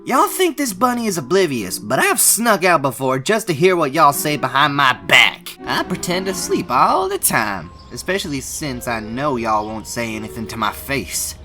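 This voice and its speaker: A man's voice: mid-range voice, very animated, moderate pace, few pauses, bright, forceful tone, reverberant, big-room sound, soft background music. A man voicing an indignant young boy bunny in an amateur cartoon dub, with a folksy, sassy drawl. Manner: folksy, sassy, scolding drawl with y'all and darn. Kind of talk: scripted amateur comic dub dialogue.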